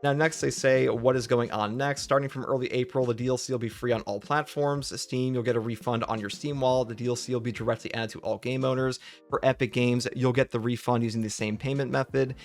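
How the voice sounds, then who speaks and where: A man's voice: medium pitch, fairly even intonation, a little fast, faint music behind. A man hosting a gaming channel, casually commenting and reading out update notes.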